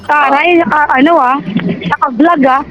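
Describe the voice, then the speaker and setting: A Filipino woman in casual banter as a passenger, sassy and joking in a deadpan way. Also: high voice, moderate pace. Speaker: motorcycle taxi passenger. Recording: dull lo-fi intercom sound, music behind. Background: music.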